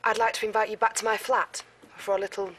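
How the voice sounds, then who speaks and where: An actress playing a brisk female intelligence officer briefing a commander on a mission. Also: mid-range voice, level tone, noisy background, natural voice. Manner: crisp briefing delivery.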